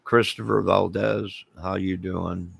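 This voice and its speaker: A middle-aged man, hoarse from singing, rambling casually to viewers on a late-night livestream and greeting them one by one. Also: sits low, little pitch movement, takes it slowly, a bit bright, no room sound. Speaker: livestream host.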